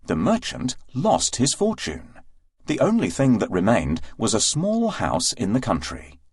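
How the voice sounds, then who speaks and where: A man narrating a scripted fairy tale for children with an expressive, deliberate storytelling delivery. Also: medium pitch, slightly rough, dry recording.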